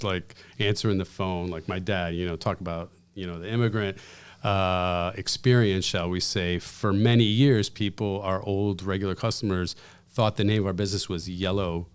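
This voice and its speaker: A man in his forties, a pizzeria owner from the United States, telling rambling, animated stories as a podcast guest. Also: low-pitched, clean tone. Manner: rambling, animated, self-deprecating. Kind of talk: casual interview storytelling.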